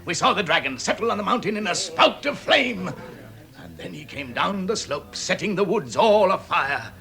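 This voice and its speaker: A proud, forceful dwarf leader. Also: male voice, high voice, big pitch swings, normal speed, exaggerated voice. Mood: grave, dramatic. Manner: grand, declamatory, storytelling. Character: proud, grave dwarf leader telling his lost history. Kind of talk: vintage radio drama acting. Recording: vintage 1960s radio recording.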